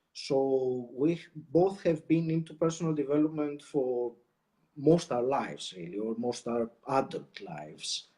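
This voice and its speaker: A man from Greece talks through a live video interview. His voice sits a little high for a man, with a mellow, soft tone. He speaks in measured bursts with frequent "uh" pauses. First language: Greek. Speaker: podcast co-host, guest. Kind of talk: casual interview chat.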